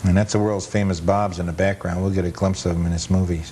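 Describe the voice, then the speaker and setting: A man's voice: little pitch movement, fast, warm tone. A man giving casual, matter-of-fact commentary over old footage, full of detail and anecdote. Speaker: guest film collector and amusement park historian. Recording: noisy rumbly 1980s cable TV audio.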